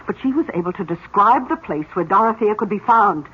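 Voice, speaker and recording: An actress playing a genteel, effusive older aunt who earnestly believes in psychic gifts, in 1970s radio drama style. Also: female voice, medium pitch, animated, brisk, lightly acted. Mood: earnest, enthralled. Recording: muffled old radio recording, faint hiss.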